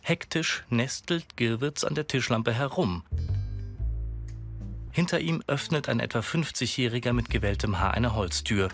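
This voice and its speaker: Man, audio-description narrator, factual scripted reading, medium pitch, background music. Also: moderate pace, a bit bright. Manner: factual, present-tense scene description.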